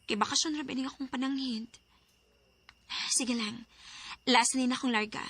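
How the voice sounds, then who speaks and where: A woman's voice: sits high, fairly even intonation, moderate pace, fairly bright tone, husky, full character voice. A woman acting a tender but firm young sweetheart in a radio drama. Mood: reassuring, firm.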